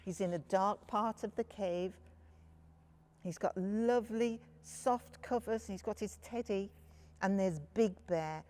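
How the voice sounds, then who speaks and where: A woman's voice: mid-range voice, takes it slowly, a little mellow. A woman reading a picture book to young children, phrasing things simply and speaking to her listeners directly. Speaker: children's storyteller.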